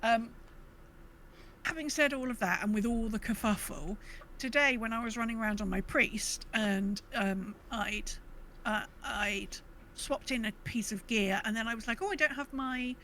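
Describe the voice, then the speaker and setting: A woman's voice: on the high side, normal speed, a bit bright, slight background noise, low-quality recording. A woman co-hosting a podcast in a casual, chatty way. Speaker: podcast co-host. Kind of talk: casual gaming podcast chat.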